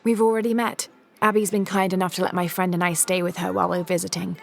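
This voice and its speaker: An actress playing a composed, curious young archaeologist adventurer in a video game, speaking in a measured, polite, earnest way. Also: female voice, on the low side, level tone, gravelly, faint music behind. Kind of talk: video game cutscene acting.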